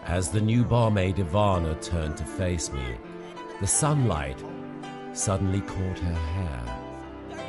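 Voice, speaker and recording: An actor with an English accent playing a weary, exasperated male spy in a comic radio play, expressive in narration and dialogue. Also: sits low, slow pace. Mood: narrating, dramatic. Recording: music behind the voice.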